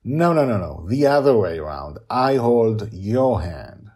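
A man's voice: lowish voice, very animated, a little slow, mellow, breathy edge, voice pushed up, full character voice. A man voicing a playful, protective father in a story, patient and amused as he teaches his young daughter emphatically. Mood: patient, insistent.

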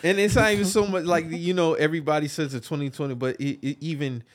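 A man's voice: a little high, steady tone, fast. A man, co-hosting a podcast in casual, emphatic chat and often checking in with "you get what I'm saying?". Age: 30s. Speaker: podcast co-host.

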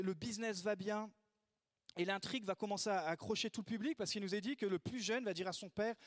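A man preaching a sermon in a lively way, acting out the voices he quotes. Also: sits high, no echo. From Italy. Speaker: pastor.

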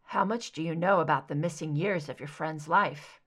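A woman voicing a probing, concerned male investigator in an audiobook, low-key and questioning. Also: moderate pace, a bit bright. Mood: probing, dry. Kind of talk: fan fiction audiobook dialogue.

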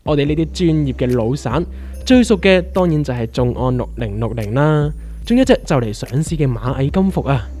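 A man with a Hong Kong accent playing an excitable, teasing veteran small investor in a comic radio drama, with slangy, theatrical delivery. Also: medium pitch, brisk, close to an everyday voice. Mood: enthused. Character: excitable male veteran retail stock trader, teasing know-it-all.

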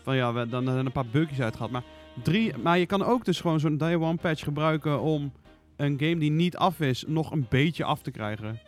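Male podcast panelist. Moderately high voice, balanced and conversational, light music under him. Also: moderate pace, few pauses. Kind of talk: casual discussion.

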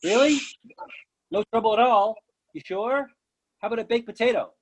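A man's voice: high-pitched, normal speed, rough recording. A man acting an eager, oblivious friend with an insistent, earnest manner in a readers theatre comedy. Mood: coaxing. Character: eager, oblivious male friend pushing food, stubbornly cheerful.

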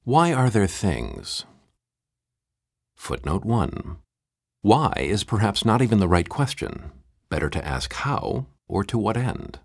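Guy doing a pompous old-school philosophy translator, super deep, pedantic and deliberate, swooping intonation, audiobook bit. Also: sing-song, slightly rough, no echo, clean studio sound, heavily acted. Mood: solemn, pedantic. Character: pompous academic translator reading an absurdly dense philosophy text. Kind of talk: comic mock-scholarly audiobook reading.

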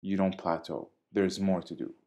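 Deep-voiced man, measured and articulate. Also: little pitch movement, darkish tone. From Syria. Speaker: DJ and guest. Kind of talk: podcast interview.

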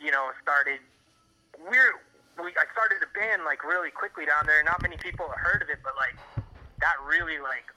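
A man's voice: fairly high pitch, moderate pace, pushed and bright. An American man from Miami chatting casually on a phone call, rambling through old stories in slangy, profane language. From Miami, USA. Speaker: interview guest on a phone call. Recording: tinny phone line.

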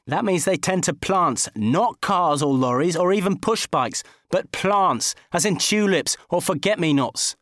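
A man's voice: high-pitched, expressive, a little slow, hardly any pauses, a bit bright, dry recording, studio quality, pitched above the performer's own voice. A man playing an exasperated, sarcastic divorced man who nags and scolds emphatically in comic audiobook dialogue.

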